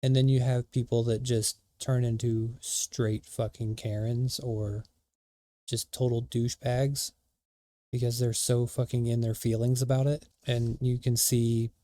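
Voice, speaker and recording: A man's voice: medium pitch, level tone, unhurried, mellow, no room sound. A man giving casual reaction commentary, blunt and profane, ranting with frequent fillers. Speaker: streamer reacting to a video.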